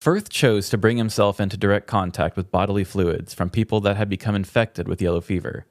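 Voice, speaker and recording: A man's voice: on the low side, a little fast, dry recording, studio quality. A man with an American accent co-hosting a podcast, telling stories and chatting casually with a wry, joking manner. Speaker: podcast co-host. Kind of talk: casual chat and storytelling.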